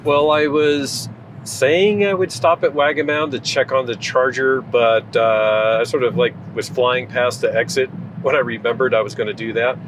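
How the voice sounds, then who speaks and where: A man's voice: medium pitch, fairly even intonation, moderate pace, music in the background, low-quality recording. Man chatting from the driver's seat. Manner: thinking aloud, lots of fillers. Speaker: vlogger. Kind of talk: casual chat while driving. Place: inside a car at night. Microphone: camera mounted on the dashboard.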